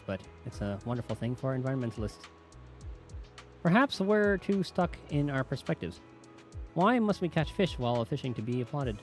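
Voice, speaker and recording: A man voicing a stoic, earnest young woman from a video game, speaking formally and deadpan. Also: mid-range voice, lightly acted. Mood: solemn.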